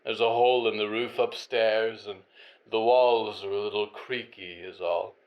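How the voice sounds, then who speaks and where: A man's voice: fairly low pitch, fairly even intonation, measured pace, lo-fi sound, natural voice. A man voicing a vain, fallen corporate diva, a woman who rambles theatrically in an audio drama monologue. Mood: breezy.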